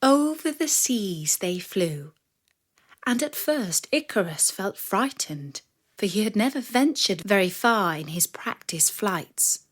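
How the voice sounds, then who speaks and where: A woman narrating a children's story from a script with expressive, dramatic delivery. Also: medium pitch, slow pace.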